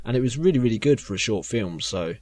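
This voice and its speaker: A man's voice: medium pitch, animated, fast talker, a little mellow, no room sound. A man talking casually as a co-host in a group podcast discussion.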